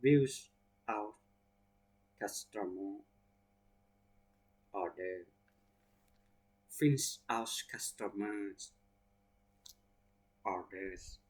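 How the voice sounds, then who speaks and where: A man with a Thai accent, a native Thai speaker, teaching English vocabulary by reading example sentences slowly word by word and spelling out abbreviations letter by letter. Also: lowish voice, darkish tone. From Thailand.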